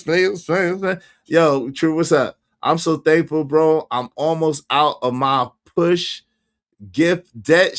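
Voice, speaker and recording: A man's voice: medium pitch, a bit bright. A man around fifty hosting a casual livestream, chatting animatedly with viewers, laughing and reacting to their comments. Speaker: livestream host.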